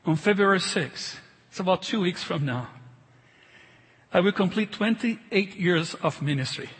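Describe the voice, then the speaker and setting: High-voiced man preaching with a foreign accent. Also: expressive, a bit bright, slightly rough. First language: not English. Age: middle-aged. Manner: emphatic, halting, repeats phrases. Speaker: pastor preaching. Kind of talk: sermon. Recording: reverberant large hall.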